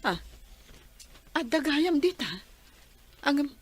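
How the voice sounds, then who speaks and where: A woman playing a frail, gentle mother who is losing her sight in a Filipino radio drama, speaking with a Filipino accent. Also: high voice, expressive, takes it slowly, background noise. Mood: gentle, weary.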